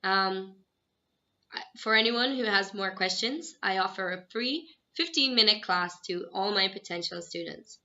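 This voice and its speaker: A young Irish woman, an English teacher, introducing herself to students in a friendly, encouraging and clearly measured way. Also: medium pitch, bright, forceful tone. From Ireland. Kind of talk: self-introduction video to students. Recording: dull, slightly lo-fi sound.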